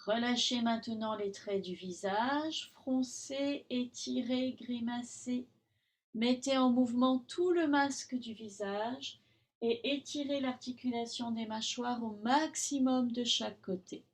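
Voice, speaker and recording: A woman yoga teacher guiding a relaxation session in calm, instructional short phrases with long pauses. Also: on the high side. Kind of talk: guided Yoga Nidra relaxation.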